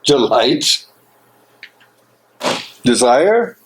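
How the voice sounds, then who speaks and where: A man playing an anguished, brooding imprisoned theologian in a dramatic stage reading, speaking an inner monologue in halting, broken phrases. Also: mid-range voice, sing-song, slightly rough, heavily acted, rough recording. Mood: mournful, searching.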